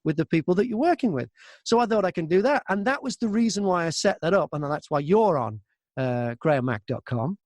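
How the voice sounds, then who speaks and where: A man's voice: high-pitched, lively intonation, fairly quick, a little mellow, no echo, studio quality. A man chatting casually as an interview guest, an audiobook narrator who tells stories by acting out both sides of exchanges. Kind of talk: casual anecdotal interview chat.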